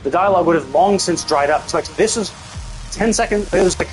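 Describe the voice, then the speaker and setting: A man's voice: high-pitched, fairly quick, faint music behind, rough recording. A man giving casual, blunt commentary as a live game streamer, talking in a sweary, venting way.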